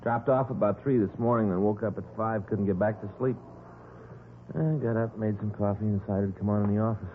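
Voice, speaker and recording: A man's voice: on the low side, steady tone, a little fast, close to an everyday voice. An American man playing a chatty, sleepless detective partner in conversational 1950s radio crime drama style. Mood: grumbling, conversational. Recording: tinny old radio recording, faint noise.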